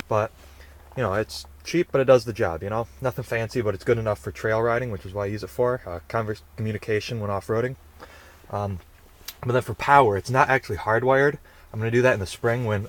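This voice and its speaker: A young man giving a relaxed, rambling how-to walkthrough while filming outdoors in the snow and inside his car on a handheld camera. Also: medium pitch. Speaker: vlogger.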